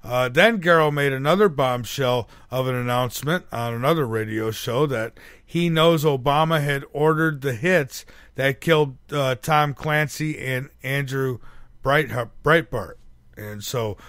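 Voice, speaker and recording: A man's voice: medium pitch, unhurried, fairly bright tone, no room sound, clean studio sound. A man hosting a radio show, rambling through his questions with frequent fillers. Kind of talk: casual radio interview.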